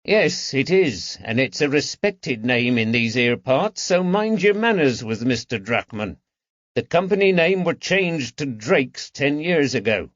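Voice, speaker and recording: Narrator guy doing a curt old shopkeeper, working class, drops his h's, proud, pitched up, audiobook. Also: big pitch swings, a little slow, a bit bright, no room sound, highs cut off. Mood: stern. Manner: curt, colloquial, dropped h.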